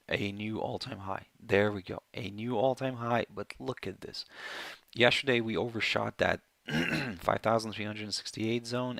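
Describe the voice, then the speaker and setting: A man with a hoarse, failing voice, hosting a live market webinar in a rambling way with many fillers and self-corrections. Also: lowish voice, a bit bright, no room sound. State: hoarse, voice going.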